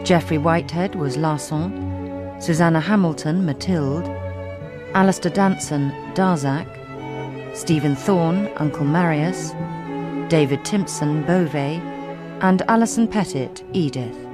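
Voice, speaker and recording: A woman reading scripted closing credits as a radio announcer, formal and measured. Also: sits low. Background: violin music.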